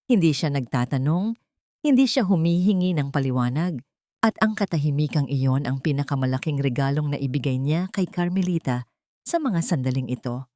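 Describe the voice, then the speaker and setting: A woman with a Filipino accent narrating a scripted dramatic story in a deliberate, emotive way. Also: deep voice, warm tone, dry recording, clean studio sound.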